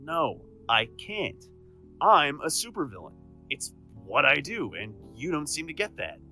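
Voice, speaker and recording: A man playing a proud, defiant supervillain with a soft side in an audio drama, speaking emphatically and earnestly. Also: on the high side, sing-song, measured pace, faint music behind, exaggerated voice. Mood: indignant, hurt.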